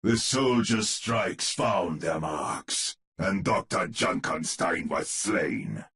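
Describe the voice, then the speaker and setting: Spooky storybook narrator for a horror game, super deep n slow, grave and dramatic. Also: male voice, animated, fairly bright tone, heavily acted. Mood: ominous, dramatic. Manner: theatrical, measured, ominous. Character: grave old-world storyteller narrating a gothic horror tale.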